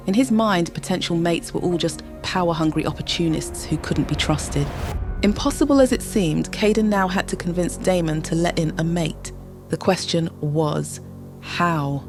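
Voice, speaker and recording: Low-voiced woman narrating drama over music. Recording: music bed behind the voice.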